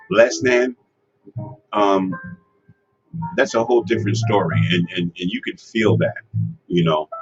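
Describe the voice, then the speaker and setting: Host, male, strong voice, rambling advice talk over guitar music, lo-fi sound. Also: on the low side. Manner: rambling, many fillers and restarts. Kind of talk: casual advice livestream. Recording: lo-fi with music behind.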